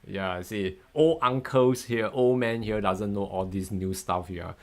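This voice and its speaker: A man's voice: on the low side, unhurried, a little mellow. A man in his fifties, a native Mandarin speaker, running a live trading lesson in a chatty, upbeat way, often laughing and thanking viewers. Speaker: forex trading educator. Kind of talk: live-stream chart analysis.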